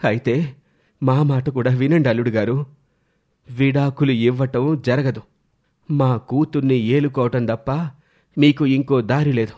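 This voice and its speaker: A stern middle-aged father from a family drama story, acted by a man. He is protective, firm and deliberate, with a mid-pitched voice. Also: fairly even intonation, warm tone, close to an everyday voice.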